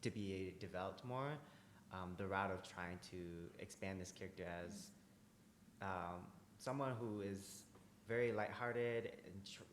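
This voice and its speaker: A man speaking thoughtfully in a casual group discussion, pausing often with ums. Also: deep voice. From United States. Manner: thoughtful, hesitant with many ums. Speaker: actor. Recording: roomy echo, faint noise.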